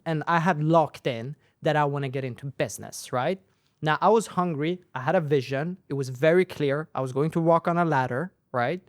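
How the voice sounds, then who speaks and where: A man's voice: fairly high pitch, dry recording, clean studio sound. A young man with Persian as his first language, raised in Sweden, telling his story in a casual interview and driving points home by repeating phrases. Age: young adult. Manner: animated, tag questions, repeats phrases for emphasis. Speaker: guest, company founder.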